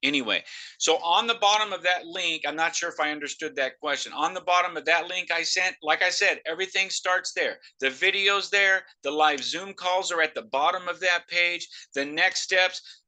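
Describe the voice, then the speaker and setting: Man, emphatic salesman, very forceful and bright, noise-cancelling mic. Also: fairly high pitch. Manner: loud, emphatic and excitable. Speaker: host of a sales call. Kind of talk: live sales pitch on a video call. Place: video call. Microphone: noise-cancelling microphone.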